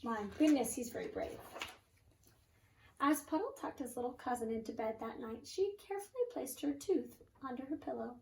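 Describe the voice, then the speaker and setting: Woman storybook reader: teacherly, engaging, very lively pitch, slightly distant mic in a roomy space. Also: moderate pace, vocal fry. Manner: animated, engaging listeners with questions. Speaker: read-aloud teacher. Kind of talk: expressive children's storybook reading with asides. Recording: roomy echo, away from mic.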